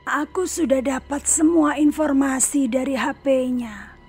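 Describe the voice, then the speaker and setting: A woman voicing a calm, self-assured psychic in a horror cartoon, speaking in a measured, often hushed way. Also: high voice, faint music behind. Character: calm female psychic, middle-aged, sure of herself. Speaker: paranormal.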